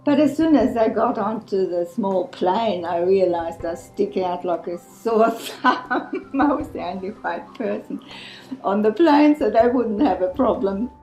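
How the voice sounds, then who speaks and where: A slow-speaking older woman shares her volunteer experience with frequent pauses and fillers. Her voice is mellow and fairly low. The recording sounds roomy, with faint music behind. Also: lively intonation. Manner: hesitant, with fillers. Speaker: church volunteer. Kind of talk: interview testimony.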